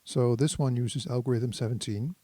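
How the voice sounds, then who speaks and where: A man giving a casual hands-on tutorial as a synthesizer demonstrator, thinking aloud in an unhurried, explanatory way. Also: medium pitch, fairly even intonation, dark tone, dry recording.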